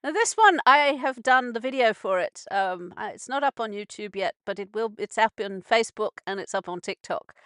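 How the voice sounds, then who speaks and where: A woman's voice: on the high side, animated, normal speed, hardly any pauses, sharp tone, clear, resonant tone, dry recording. A woman who grew up in Scotland, hosting a live radio show in a chatty, rambling way and laughing easily. Her British accent carries Scottish, Cockney, Birmingham and South African traces. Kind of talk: casual live radio chat. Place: narrowboat cabin.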